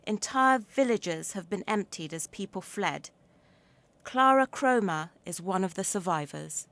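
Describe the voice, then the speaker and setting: A woman's voice: mid-range voice, expressive, unhurried, fairly bright tone. A woman reporter delivering scripted narration for news features, as a presenter would.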